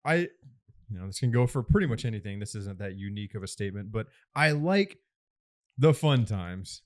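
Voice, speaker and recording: एक आदमी, तीस के दशक में, पॉडकास्ट पर हल्के-फुल्के अंदाज़ में बात करता हुआ, अक्सर हँसता और सोचते-सोचते बोलता हुआ।. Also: male voice, fairly low pitch, normal speed. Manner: animated, laughs often, thinks aloud. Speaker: podcast co-host. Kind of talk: casual chat.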